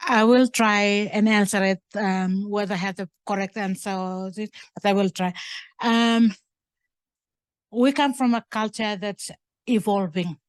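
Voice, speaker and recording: An older woman gives an unscripted answer at a talk, with a medium-pitched voice. She feels her way through sentences, often stopping to rephrase. The sound is dry, with very little room in it. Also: steady tone, unhurried, clear, resonant tone, dry recording. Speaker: guest speaker and curator at a museum talk. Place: indoors at a museum talk event.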